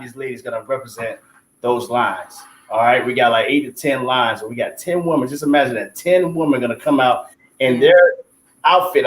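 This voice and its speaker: A man casually explaining and introducing members of a stage production in an informal way. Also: a little high. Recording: echoey room, faint background noise.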